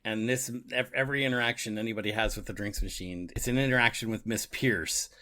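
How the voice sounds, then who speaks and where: A man's voice: on the low side, fairly even intonation, fast talker, pushed and bright. A man co-hosting a podcast, casually discussing a book and its radio adaptation, often chuckling as he talks. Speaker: podcast co-host.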